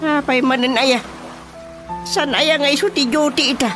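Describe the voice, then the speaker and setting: A man's voice: very high-pitched, steady tone, normal speed. An actor with an Ilocano Filipino accent playing a talkative, exasperated village chairman in a radio comedy drama. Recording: loud music bed under voice.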